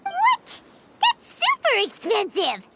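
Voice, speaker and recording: A woman voicing a tiny, childlike female fairy companion in a video game, squeaky, excitable and blunt. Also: slow, creaky, noisy background, heavily acted. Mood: indignant. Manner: childlike, speaks of self in third person. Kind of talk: animated video game voice acting. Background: music.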